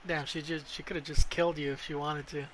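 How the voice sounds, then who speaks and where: Excitable male game streamer, bright voice. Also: on the high side, fast, slight background noise, low-quality recording. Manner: excitable, slangy, profane, dramatic pleading. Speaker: gaming streamer. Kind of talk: live game reaction commentary.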